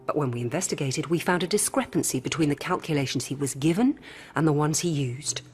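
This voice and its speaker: A low-pitched, coolly rational female android played by an actress in a radio play. She speaks formally and patiently, as if explaining pure logic, with prominent music underneath. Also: a little mellow, natural voice. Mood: matter-of-fact. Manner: measured, formal, didactic. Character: calm, coolly rational female robot hybrid in human guise. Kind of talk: radio drama acting. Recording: music behind.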